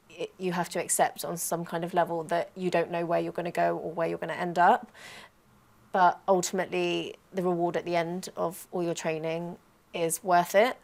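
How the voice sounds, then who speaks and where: A woman giving conversational interview answers as a trainee guest. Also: on the low side. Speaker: trainee air traffic controller.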